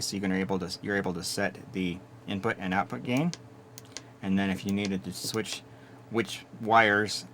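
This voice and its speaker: A man giving a steady, methodical hands-on walkthrough of equipment he is reviewing. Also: low-pitched, slight background noise. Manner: steady, methodical explaining with small restarts. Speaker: product reviewer.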